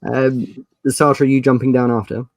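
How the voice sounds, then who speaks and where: A man's voice: mid-range voice, little pitch movement, moderate pace, dry recording, rough recording. A man running a tabletop role-playing game as game master, narrating casually and thinking aloud as he goes.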